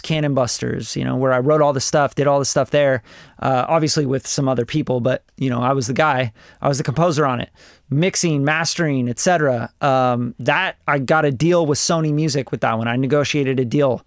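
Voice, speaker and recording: A man's voice: on the high side, dry recording, studio quality. A man in his forties from Costa Rica, a music producer chatting on a livestream in a rambling, blunt, swearing way. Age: 40s. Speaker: music producer reviewing songs live. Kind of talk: casual livestream chat.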